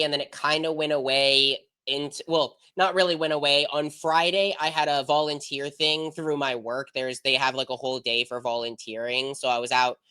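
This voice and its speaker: A young American man hosts a podcast in casual conversation. His voice is bright and forward but stuffed up, as he is sick with congestion. The sound is dry and close, with very little room to it. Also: fairly high pitch, clear, resonant tone, no echo. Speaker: podcast host. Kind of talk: casual chat.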